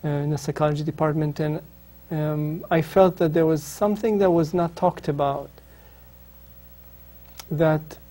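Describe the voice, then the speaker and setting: Hesitant Israeli man, dark and flat. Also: fairly high pitch, unhurried. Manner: hesitant, many ums and restarts. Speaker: author, interview guest. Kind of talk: reflective interview answers.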